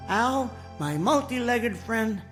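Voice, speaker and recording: An actor playing a mild, eccentric inventor and loyal friend in a television drama, speaking earnestly and politely. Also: male voice, very high-pitched, animated, slow, fairly bright tone, heavily acted. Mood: quietly satisfied. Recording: music under the voice.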